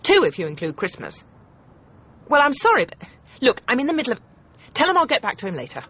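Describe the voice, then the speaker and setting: An actress playing a brisk, domineering TV comedy producer in a radio comedy drama, emphatic and sardonic. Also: female voice, on the high side, huge pitch swings, pushed and bright, breathy edge, highs cut off, heavily acted. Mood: curt, businesslike.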